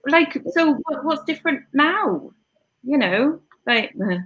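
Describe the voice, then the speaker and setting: A woman's voice: high voice, lively intonation, unhurried, fairly bright tone. A Welsh woman hosting a casual live stream, chatting in a rambling way with lots of fillers and restarts. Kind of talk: casual chat.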